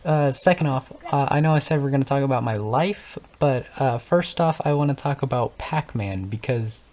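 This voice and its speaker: A man's voice: mid-range voice, moderate pace, a little mellow. Young man rambling and stuttering over gameplay. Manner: stutters and stumbles over words; rambling, thinks aloud with many fillers. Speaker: gaming channel host. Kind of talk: unscripted casual talk over gameplay.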